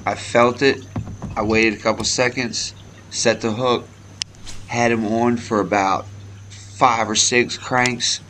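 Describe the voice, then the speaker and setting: A man filming himself fishing from a kayak on a pond, giving relaxed play-by-play to his viewers on an action camera outdoors. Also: medium pitch, takes it slowly, a little hiss or hum. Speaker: fishing vlogger. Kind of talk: casual fishing commentary.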